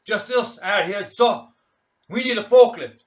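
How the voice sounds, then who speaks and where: A man's voice: sits high, a little slow, recorded from a distance, voice pushed up. A man acting a young Marine lieutenant, stiffly formal and defiant, with clipped military address, in a story reading. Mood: tense, dutiful.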